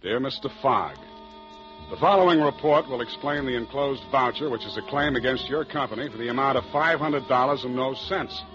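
A man's voice: mid-range voice, fairly quick, fairly bright tone, natural voice. Man acting cocky sardonic detective, dry delivery, 1940s radio style, dull recording, music behind. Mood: dry, narrating. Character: wisecracking, cool, cocky male private detective. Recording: muffled old radio broadcast recording with music.

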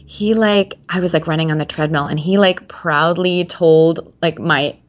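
Low-pitched woman in her 20s, chatty and rambly, lots of um and like. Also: fairly even intonation, moderate pace. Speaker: guest. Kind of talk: candid personal interview.

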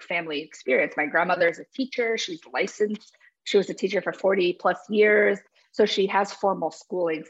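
A woman's voice: mid-range voice, breathy edge. A young woman from New Jersey co-hosting a podcast, talking casually with lots of "like" fillers. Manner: conversational, many "like" fillers. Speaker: podcast co-host. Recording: dull lo-fi podcast audio.

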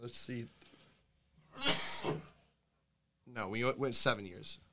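A man's voice: mid-range voice, slow pace, heavy background noise. A man in his thirties chatting casually on a podcast, laid-back and rambling, with lots of fillers and restarts. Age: 30s. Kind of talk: casual chat.

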